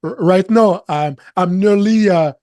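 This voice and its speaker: A man in his thirties with a French accent, a native French speaker and business coach, talking haltingly in a studio interview and repeating words while he searches for English. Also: high voice, warm tone, no echo. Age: 30s. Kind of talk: interview conversation. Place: studio set, seated in armchair.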